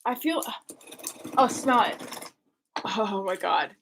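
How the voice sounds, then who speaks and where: A woman with a bright, clear voice chats casually on a home livestream. She reads viewer comments aloud between bits of chatter. Faint background noise sits under her voice. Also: medium pitch, expressive, takes it slowly. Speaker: host.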